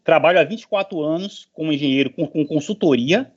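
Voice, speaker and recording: A man's voice: on the high side, a little fast. A middle-aged professor, a man addressing an online meeting in an informal, emphatic, motivational way, repeating phrases for stress. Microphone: online meeting call. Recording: dull lo-fi online call audio.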